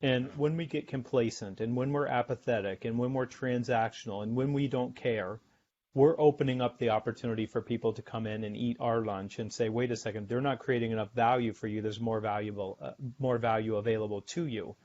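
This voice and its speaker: A middle-aged American man, a sales author and podcast guest, explaining his ideas in a measured way and often repeating words as he thinks. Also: medium pitch, fairly even intonation, a little mellow. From United States. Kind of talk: podcast interview. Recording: dull lo-fi remote audio.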